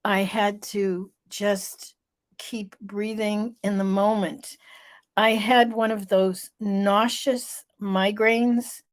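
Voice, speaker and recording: A woman's voice: medium pitch, a little mellow. A middle-aged woman hosting a live vlog, telling a personal story with emphatic repetition, her voice stuffy and a little off. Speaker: vlog host.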